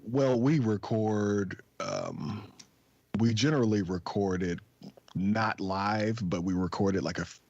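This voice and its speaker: A man's voice: lowish voice, unhurried, darkish tone, no room sound, highs cut off. A man co-hosting a podcast, laid-back and slangy in casual chat. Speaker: podcast co-host.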